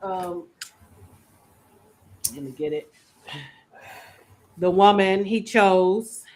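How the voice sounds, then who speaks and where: A woman's voice: mid-range voice, very slow, noisy background. Lady host chatting casually about books, talks right to the audience. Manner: chatty, addresses live audience by name. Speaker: book show host. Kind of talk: casual book discussion chat.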